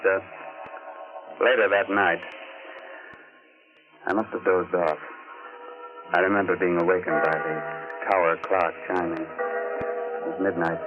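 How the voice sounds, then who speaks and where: An actor playing a macabre horror host and narrator, theatrical and tongue-in-cheek, in 1940s radio style. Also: male voice, low voice, lightly acted. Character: macabre, sardonic horror host telling his ghostly tale. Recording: tinny 1940s radio recording with music.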